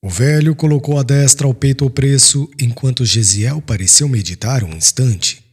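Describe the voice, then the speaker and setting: A man's voice: mid-range voice, moderate pace, breathy edge. Solemn Brazilian male audiobook narrator. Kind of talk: solemn scripted literary narration. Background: soft music.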